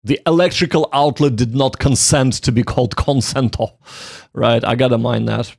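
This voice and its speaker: Gravelly-voiced man casually streaming, close and dry. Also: mid-range voice, steady tone, moderate pace, darkish tone, dry recording. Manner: self-deprecating, joking, thinking aloud. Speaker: livestream host, language learner. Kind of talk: casual livestream study commentary.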